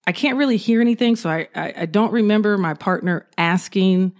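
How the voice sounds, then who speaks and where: A woman police officer telling an animated story in an interview, acting out what she said at the time. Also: medium pitch, a little fast. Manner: animated, acts out her own quotes.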